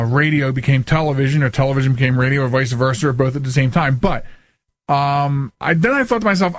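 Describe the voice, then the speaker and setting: A man's voice: fairly high pitch, dry recording. An American man hosting a radio talk show in a casual, chatty, folksy and joking way.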